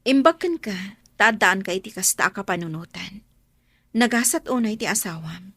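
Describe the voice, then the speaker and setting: A woman's voice: mid-range voice, lively intonation, normal speed, a bit bright, husky, no room sound. A woman playing a long-suffering abandoned wife and mother in a radio drama, earnest and sorrowful. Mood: worried, sad. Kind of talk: Ilocano radio drama acting.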